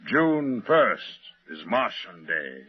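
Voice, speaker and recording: A deep, slow, mysterious client. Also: male voice, big pitch swings, faint music behind. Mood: commanding, precise. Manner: formal, deliberate, portentous phrasing. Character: mysterious, secretive male client, precise, suave and commanding. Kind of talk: old time radio drama acting. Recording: muffled vintage radio broadcast recording.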